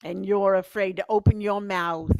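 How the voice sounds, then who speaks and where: A woman's voice: medium pitch, steady tone, normal speed, a little mellow, no room sound, lightly acted. A woman playing a nagging, fussing mother. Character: fussing, encouraging mother of a grown son. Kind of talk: vintage radio play acting.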